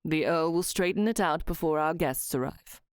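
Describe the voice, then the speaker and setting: A woman voicing a cheerful, self-assured young viscount with a lower, slower, confident drawl. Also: clean tone, no echo, studio quality.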